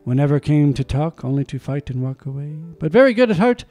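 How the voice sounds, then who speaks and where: Mellow-voiced man reading poems theatrically. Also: a little high, big pitch swings, fairly quick. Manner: theatrical, swells loud on key lines, then drops to quiet murmured asides. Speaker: community radio host. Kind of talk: dramatic poetry reading with chatty asides. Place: small community radio studio. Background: soft music underneath.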